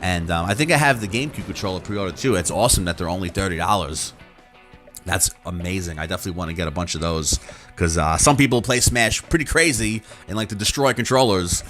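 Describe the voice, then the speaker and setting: Man, low voice, casual gaming host, rambling, halting delivery, soft background music. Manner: rambling, halting, repeats words and trails off. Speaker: YouTube gaming channel host. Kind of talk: casual, unscripted chat to viewers.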